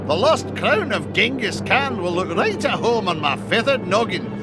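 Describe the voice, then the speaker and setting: Very high, swooping, gloating duck villain. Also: male voice, very animated, normal speed. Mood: smug, taunting. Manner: taunting, theatrical, gleeful. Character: gloating, scheming old rival billionaire cartoon duck villain. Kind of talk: animated game voice acting. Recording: loud background music under the voice.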